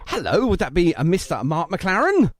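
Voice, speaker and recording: A man's voice: high-pitched, huge pitch swings, normal speed. A man hosting a radio show, chatting casually as he interviews a guest, full of jokey exclamations.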